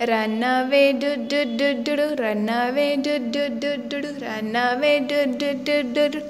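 A woman's voice: high-pitched, steady tone, a little slow, big-room sound. A woman singing nursery rhymes for children in a repetitive sing-along delivery. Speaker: children's song singer. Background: faint backing music.

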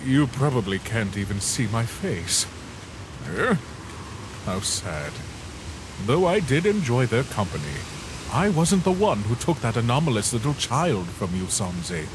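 A man's voice: mid-range voice, very animated, unhurried, noisy background. A man voicing a sinister, mocking phantom in a comic dub, taunting and theatrical. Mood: taunting, cold. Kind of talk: fan comic dub acting.

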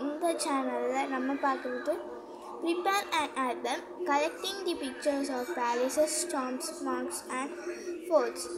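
A woman with a Tamil accent reading aloud from a project album slowly and carefully, stumbling and restarting on hard words. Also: high-pitched, heavy background noise. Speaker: channel host presenting a school project album. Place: indoors at a table, camera filming the album from above.

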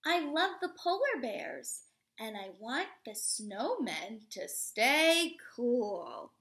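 A woman in her thirties, a teacher reading a picture book aloud to young children in an expressive, instructive way. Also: fairly high pitch, slow. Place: living room at home, indoors.

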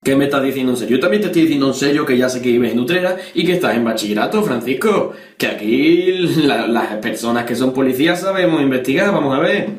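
A man's voice: mid-range voice, lively intonation, fast, few pauses, natural voice. A young man from Spain playing a stern, preachy, threatening policeman father on a prank call, insistent and accusatory. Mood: threatening, official. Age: young adult. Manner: insistent, accusatory, repeating the listener's name. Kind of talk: prank phone call improvisation. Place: small bedroom, indoors. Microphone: webcam or camera at desk distance. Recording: roomy, echoey bedroom.

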